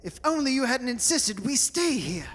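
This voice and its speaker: A man playing an earnest, anxious young gentleman in a radio drama, with old-fashioned politeness. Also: very high voice, big pitch swings, normal speed, heavily acted. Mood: reproachful. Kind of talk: old-time radio horror drama acting. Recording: stage recording with faint hiss.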